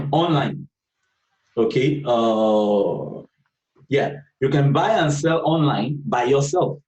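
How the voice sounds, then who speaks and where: A man's voice: fairly high pitch, darkish tone. Patient male trading instructor, roomy and distant. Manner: patient, repeats points, frequent "okay" checks. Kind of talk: live online class.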